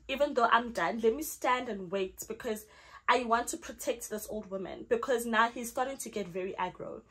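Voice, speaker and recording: A woman's voice: on the high side, breathy edge. A young South African woman, a Zulu speaker, telling a storytime vlog story and acting out her own lines. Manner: animated, acts out her own lines in the retelling. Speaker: vlogger.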